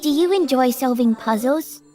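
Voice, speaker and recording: A mysterious young woman who teases gently and speaks with a lightly formal, knowing air. Her voice sits a little high. A soft musical score hums beneath her lines. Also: lively intonation, moderate pace, soft background music. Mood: playful. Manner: teasing, sly, lightly formal. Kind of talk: audio drama acting.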